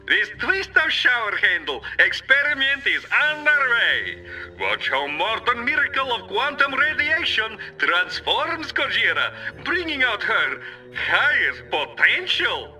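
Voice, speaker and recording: An actor playing a gloating Soviet premier in a video game, with a Russian accent and a grandiose, mock-polite manner, heard over a radio. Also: male voice, very high voice, wildly swooping pitch, unhurried, bright, forceful tone, faint music behind, exaggerated voice, rough recording. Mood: smug, gleeful. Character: gloating Soviet villain premier, theatrical and mocking. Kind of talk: theatrical video game villain acting.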